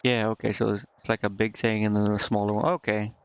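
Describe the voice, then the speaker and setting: A man streaming a game, casually reading on-screen text aloud and thinking out loud in a halting, puzzled mutter. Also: lowish voice, completely flat delivery, smooth. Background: game music.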